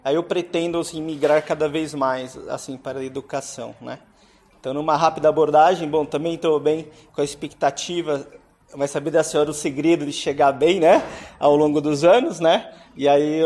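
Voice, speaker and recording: A man's voice: fairly high pitch, fairly even intonation, fairly quick, a little mellow. A man introducing himself to a group at a meeting, chatty and friendly, tagging his sentences with "né". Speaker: English teacher.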